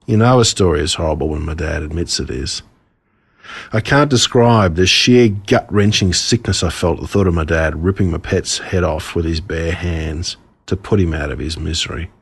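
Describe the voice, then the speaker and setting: An Australian man reading his own humorous stories as scripted narration, in an expressive, wry way. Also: low-pitched, moderate pace, gravelly, husky.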